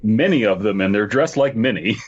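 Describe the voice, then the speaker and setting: A man's voice: fairly low pitch, expressive, brisk, muffled recording, slight background noise. A man co-hosting a podcast, joking crudely and laughing often in casual group chat. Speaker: podcast co-host. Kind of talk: casual comedic group chat around story reading.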